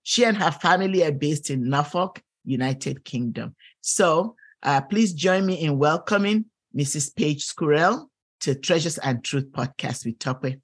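A woman's voice: low voice, animated, unhurried, breathy edge. A woman hosting a faith podcast, introducing a guest and asking questions with plenty of fillers and encouraging "mm-hmm"s. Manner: gracious, many fillers and affirming "mm-hmm"s. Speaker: podcast host. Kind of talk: interview hosting.

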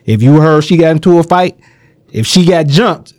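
A man's voice: on the high side, expressive, moderate pace, darkish tone, no echo. A man co-hosting a podcast, giving laid-back, streetwise advice and checking in with his listeners often. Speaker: podcast co-host. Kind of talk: casual podcast chat.

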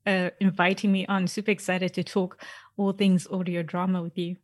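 A woman's voice: lowish voice, level tone, normal speed, no echo. A woman chatting casually as an interview guest about her work as a podcast writer, in an informal, upbeat way.

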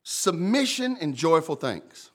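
A pastor delivers a sermon in a big, booming voice. He swings from loud emphatic lines to hushed, warm asides. His tone stays conversational, and he addresses congregants directly by name. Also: takes it slowly. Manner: lively, conversational preaching, calls out congregants by name. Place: church.

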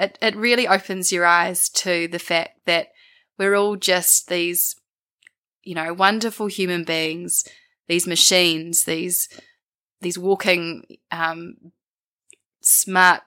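A young woman with a New Zealand accent and a croaky voice from a winter bug, answering thoughtfully as an interview guest, searching for her words. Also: fairly low pitch, pushed and bright. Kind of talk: reflective interview conversation.

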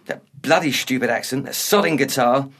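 An actor playing a bitter middle-aged ex-punk with a London accent, blunt, slangy and grumbling. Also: male voice, medium pitch, brisk, fairly bright tone, breathy edge. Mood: aggressive. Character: bitter, blunt washed-up male ex-punk, quick to bristle. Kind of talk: naturalistic dark comedy dialogue.

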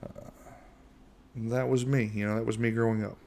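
A middle-aged man from the United States hosting a casual solo podcast in a monotone voice, rambling with lots of fillers and restarts. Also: medium pitch, normal speed, creaky, a little hiss or hum. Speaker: podcast host.

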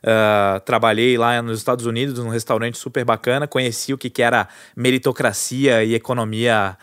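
A man's voice: mid-range voice, fairly quick, clear, resonant tone, dry recording, studio quality. Chatty Brazilian man in his thirties. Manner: relaxed and chatty, with frequent fillers and self-correcting restarts. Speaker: guest, entrepreneur. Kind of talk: casual storytelling interview.

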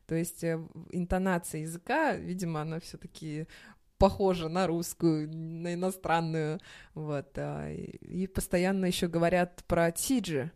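A woman's voice: fairly low pitch, fairly even intonation, normal speed, darkish tone, clean tone. A woman from Russia, a native speaker of Chinese and Russian, answering interview questions conversationally. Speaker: interview guest.

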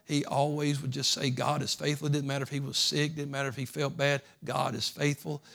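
A man preaching a sermon as pastor, folksy and repetitive, building from soft talk to loud fervent preaching. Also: mid-range voice, flat delivery, brisk, vocal fry. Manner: folksy, repeats phrases.

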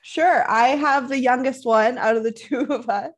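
A woman's voice: sits high, normal speed. Giggly young woman co-host. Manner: friendly, giggly, talkative. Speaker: podcast co-host, nurse. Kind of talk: casual chat.

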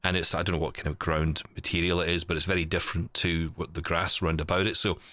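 A man's voice: sits low, little pitch movement, fast talker, bright, forceful tone, no room sound. A man in casual, reflective conversation, explaining things in a rambling way with tag questions. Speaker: podcast co-host.

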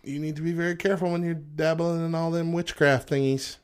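A man's voice: on the high side, little pitch movement, fairly quick, smooth. A man in his early thirties co-hosting a podcast, bantering and reading headlines aloud with frequent laughs. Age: early 30s. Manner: joking, laughs often. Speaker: podcast co-host.

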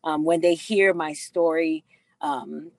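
A woman's voice: low voice, fairly even intonation, a little mellow, no room sound. A middle-aged woman from the Bahamas, a doctor and author, telling her life story earnestly in an interview with many fillers. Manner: slow and earnest, frequent fillers.

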